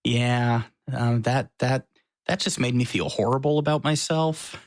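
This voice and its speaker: A man with a mid-pitched voice chats casually on a podcast, joking and poking fun at himself. His voice is recorded dry and close. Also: a little slow, no echo. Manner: joking, self-mocking. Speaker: podcast co-host. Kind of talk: casual chat. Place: his apartment.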